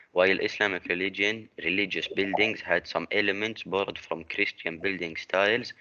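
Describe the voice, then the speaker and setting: A young man reading a textbook passage aloud in class, steady with a few slips. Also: deep voice, muffled recording.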